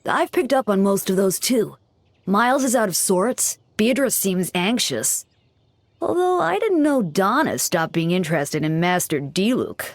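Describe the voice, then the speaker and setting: A woman playing a playful, self-assured young church deacon in a video game, with a teasing, smooth-talking manner. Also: medium pitch, lively intonation, measured pace, no room sound. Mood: musing. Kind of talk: video game character acting. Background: background music.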